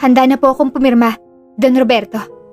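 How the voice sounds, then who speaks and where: Lady acting humble polite young girl in her twenties or so, high earnest voice, drama with music. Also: steady tone, fairly quick, a little mellow, lightly acted. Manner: deferential, earnest. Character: meek, grateful, polite young woman. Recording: music under the voice.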